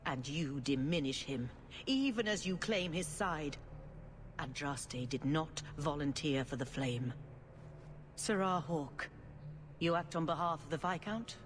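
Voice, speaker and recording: A calm elderly churchman. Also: fairly high pitch, a little hiss or hum. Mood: measured. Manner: measured, gently reproving. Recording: long reverb, faint ambience.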